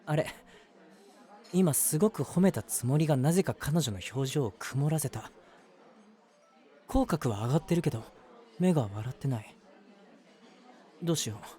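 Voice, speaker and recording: A man acting a lively, high-voiced lovestruck young guy. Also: moderate pace, long pauses, natural voice. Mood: worried, apologetic. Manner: chatty, self-deprecating, earnest, hesitant asides. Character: eager, lovestruck, easily flustered young man, eldest brother. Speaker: voice actor. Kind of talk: Japanese romantic audio drama monologue.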